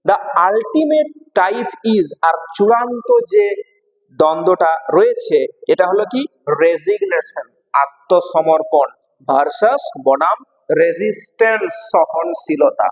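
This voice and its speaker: Male teacher, West Bengal Bengali accent, higher-pitched voice, didactic explainer delivery, recorded with long echoing reverb. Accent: Indian Bengali, West Bengal. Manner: didactic, repeats each phrase and translates it. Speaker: English teacher. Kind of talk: bilingual exam lesson, explaining line by line.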